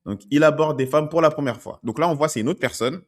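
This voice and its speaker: A man's voice: fairly high pitch, fast talker. A man working as a dating coach, talking through video clips in a conversational way and often checking in with "ok?". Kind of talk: commenting on street-approach video clips.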